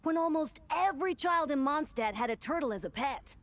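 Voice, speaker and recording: High, lively, enthusiastic youth. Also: female voice, a little fast, no echo. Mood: amazed. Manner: bright-eyed, enthusiastic. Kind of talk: animated video game dialogue.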